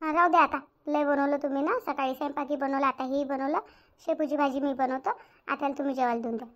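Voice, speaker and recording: A woman's voice: high-pitched, steady tone, quick pace, lo-fi sound, lightly acted. A woman voicing an outspoken, grumbling young daughter-in-law in a Marathi cartoon, with a rural Varhadi accent. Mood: gently insistent. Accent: rural Maharashtra, Varhadi Marathi. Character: indignant young village daughter-in-law, outspoken, complaining, caring. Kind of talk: domestic cartoon story dialogue.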